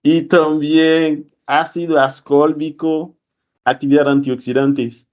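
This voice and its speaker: A man speaking Spanish as a second language, giving a student conference talk in a halting way, correcting himself often. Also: on the high side, level tone, darkish tone. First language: not Spanish. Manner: halting, self-correcting, with fillers.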